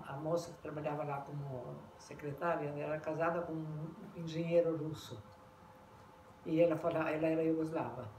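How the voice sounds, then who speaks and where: An older woman being interviewed, recounting her memories in a halting way with many restarts and repeated words. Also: low-pitched, dark tone. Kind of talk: oral history recollection. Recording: echoey room, mic far off, noisy.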